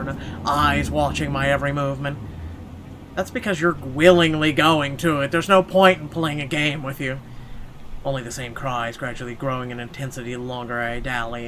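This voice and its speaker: A man's voice: medium pitch, a bit bright, a little hiss or hum, poor audio. A man playing a horror game, reading its text aloud theatrically and adding sarcastic asides. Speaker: let's player.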